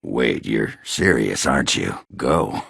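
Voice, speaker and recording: A man playing a crude, boozy, belligerent dwarf warrior in a video game, with a raspy, guttural voice and a coarse, blustering, swaggering manner. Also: very deep voice, expressive, slow, fairly bright tone, dry recording, studio quality, exaggerated voice. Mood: dejected, resentful. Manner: coarse, blustering, swearing, slurred drunken swagger. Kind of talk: video game character acting.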